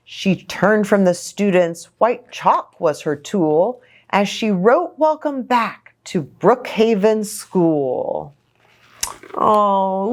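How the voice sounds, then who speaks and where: A woman reading a children's storybook aloud, expressive and sympathetic, with simple asides to young listeners. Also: mid-range voice.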